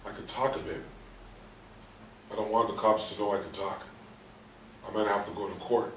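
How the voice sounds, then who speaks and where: A man's voice: fairly low pitch, natural voice. An actor in a staged play reading playing a guilt-ridden, bitter husband who hides that he can talk, recorded in a roomy space. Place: roomy indoor space, far from mic. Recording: roomy, distant mic with faint noise.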